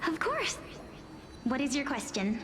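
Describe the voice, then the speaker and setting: A woman acting a gentle, earnest young woman facing a fated sacrifice in a video game, with measured, delicate, formal delivery. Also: sits high, sing-song, slight background noise, exaggerated voice. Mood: flustered, amused. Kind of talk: scripted game dialogue acting.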